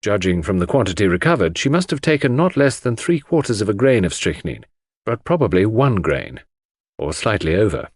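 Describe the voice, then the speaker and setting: A low-voiced male narrator reads a classic mystery story in a scripted, dramatic style. His intonation moves widely, and the recording is dry and close. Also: very animated, a little fast, husky, dry recording. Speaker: audiobook narrator.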